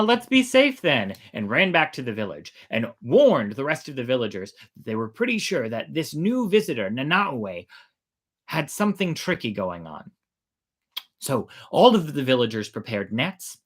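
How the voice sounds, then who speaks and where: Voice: medium pitch, fairly bright tone. A storyteller hosting a show, telling folk tales informally in a playful, conversational way.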